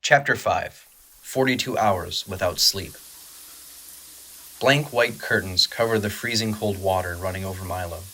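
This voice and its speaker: A man's voice: low-pitched, unhurried, bright, forceful tone, poor audio. A man reading scripted dramatic narration for an audio drama.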